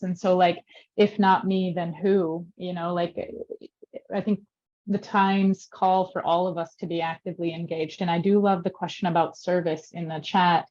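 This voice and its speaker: A woman moderates a panel in a low, flat, even voice. She is casual, dropping in "like" and "you know". The audio comes through a video call and sounds slightly dull and lo-fi. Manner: thanks speakers, uses like and you know.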